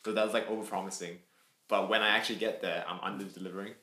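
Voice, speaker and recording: A young man co-hosting a casual podcast chat, halting, with many fillers and repeated words and frequent laughter. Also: deep voice, fairly even intonation, pushed and bright. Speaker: podcast co-host. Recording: echoey room.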